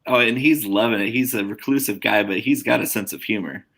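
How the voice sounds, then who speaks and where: A man with a low but bright, forceful voice riffs through casual sports talk. He swears freely and talks over his co-hosts. The delivery is loose and animated. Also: fairly quick, creaky. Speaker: podcast co-host.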